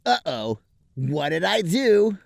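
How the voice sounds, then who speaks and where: A man putting on a teenage cartoon hedgehog boy in a comic story. He is whiny, loud and melodramatically upset, pitched well above the man's own voice, with a close, dry sound. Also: big pitch swings, slow pace, creaky, dry recording, exaggerated voice. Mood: worried.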